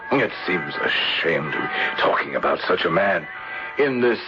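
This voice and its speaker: An actor playing an earnest, just English gentleman in a radio mystery, speaking in a measured, formal way. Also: sits low, very animated, music in the background. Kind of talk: 1970s radio mystery acting with first-person narration. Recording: dull, noisy old radio broadcast.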